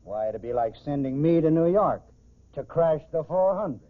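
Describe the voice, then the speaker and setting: A somewhat high-voiced American man, an actor as a doubting small-time crook in a 1940s crime serial. His talk is informal and slangy, heard through a thin, band-limited old radio sound. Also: lively intonation, normal speed, lightly acted. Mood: skeptical. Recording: muffled, phone-like 1940s radio recording.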